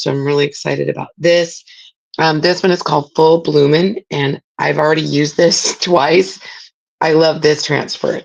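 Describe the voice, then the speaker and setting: A middle-aged woman, raspy from a spring cold, chatting casually as she hosts a live craft demo and greets viewers by name. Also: sits low, lo-fi sound. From Southern California. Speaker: craft livestream host. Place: home craft room.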